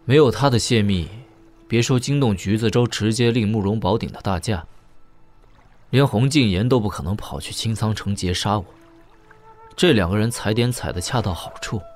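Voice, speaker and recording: An actor playing a young princely heir in an audio drama, wry and coolly questioning, speaking in a measured, conversational way. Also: male voice, on the low side, darkish tone, lightly acted. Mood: wry, resolute.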